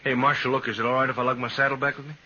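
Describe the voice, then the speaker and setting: An actor playing an uneasy, pleading outlaw with a folksy, defensive manner in a 1950s radio western. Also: male voice, mid-range voice, flat delivery, very fast, bright, forceful tone. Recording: dull, hissy old radio recording.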